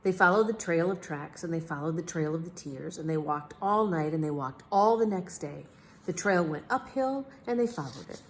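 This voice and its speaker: A woman reading a children's story aloud as a storyteller, with expressive, measured phrasing. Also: sits low, slight background noise.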